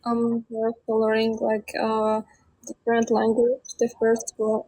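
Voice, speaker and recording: A woman in her twenties from Baghdad with an Iraqi Arabic accent, chatting as an interview guest in halting learner's English full of fillers. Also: a little high, monotone, dark tone, dry recording, rough recording. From Baghdad, Iraq. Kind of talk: casual interview chat.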